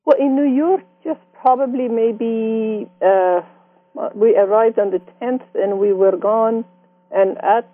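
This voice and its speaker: A woman in her sixties from Iran with a Persian accent, telling her life story in an interview with reflective, gently amused warmth. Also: a little high, takes it slowly, dry recording. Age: 60s. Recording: dry tinny phone-like sound.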